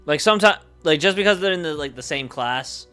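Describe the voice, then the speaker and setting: A man livestreaming casually, thinking aloud in a halting way that moves between muttering to himself and explaining. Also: a little high, animated, sharp tone, clean tone, faint music behind.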